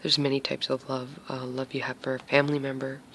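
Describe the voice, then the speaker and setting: A man's voice: mid-range voice, monotone, fairly quick, noisy background. A man giving a casual tarot reading to his viewers. He talks hesitantly with many fillers and often drops to a whisper. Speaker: tarot reader.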